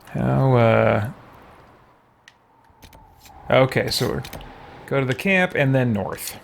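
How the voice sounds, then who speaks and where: A man's voice: medium pitch, expressive, slow pace, vocal fry, slight background noise. A man giving casual live let's-play commentary, rambling and thinking aloud as a game streamer.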